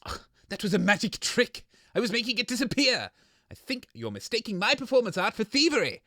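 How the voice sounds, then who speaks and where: A man's voice: high-pitched, sing-song, brisk, sharp tone, dry recording, studio quality, full character voice. A man playing a grumpy, shameless little radio host in scripted comedy banter, blustering and dismissive. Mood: defensive, dismissive.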